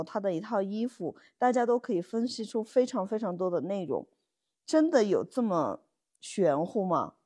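A woman's voice: mid-range voice, warm tone. Woman chatting in casual storytelling style. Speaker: podcast co-host.

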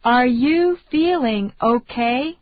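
Slow, deliberate woman drilling phrases. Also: high-pitched, animated, dry recording. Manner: slow, deliberate, carefully enunciated. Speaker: English phrase reader. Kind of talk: slow repeat-after-me drill. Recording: dry, tinny phone-like sound.

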